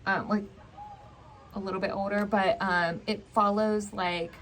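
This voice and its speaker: A woman's voice: mid-range voice, fairly even intonation, measured pace, a bit bright, rough recording. Young woman chatting casually over noise. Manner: informal, hedging, conversational. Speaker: book vlogger. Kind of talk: casual book chat. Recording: clear background noise.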